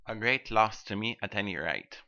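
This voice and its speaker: Selfish male miller, acted by a man, sanctimonious, bright forceful voice, lowish pitch, lecturing manner, storybook dialogue. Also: expressive, moderate pace, heavily acted. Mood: self-important, peevish. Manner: self-righteous, lecturing. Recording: dull, band-limited audio.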